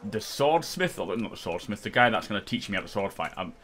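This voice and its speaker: A man's voice: on the low side, sing-song, fast talker, fairly bright tone. A man giving casual playthrough commentary as host of a gaming video, sounding slightly tipsy. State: slightly drunk, self-reported.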